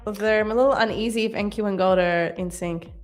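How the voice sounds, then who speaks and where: A woman's voice: medium pitch, fairly even intonation, fast talker. A woman in her twenties co-hosting a live trading stream, chatting casually, laughing often and reading viewer comments aloud. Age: 20s. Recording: faint music underneath.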